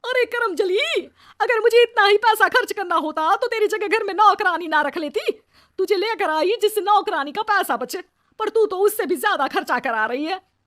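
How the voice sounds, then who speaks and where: Casting: woman voicing a spiteful middle-aged mother-in-law for a cartoon tale, high-pitched, harsh, swinging nag. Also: animated, moderate pace, fairly bright tone. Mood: furious. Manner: harsh, taunting, nagging. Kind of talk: animated moral story dialogue.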